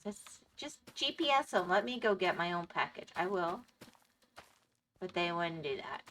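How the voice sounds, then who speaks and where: Bright-voiced woman losing her voice. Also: on the low side, animated, unhurried, a little hiss or hum. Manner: chatty, reads and answers chat. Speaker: game streamer. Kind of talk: casual live-stream chat.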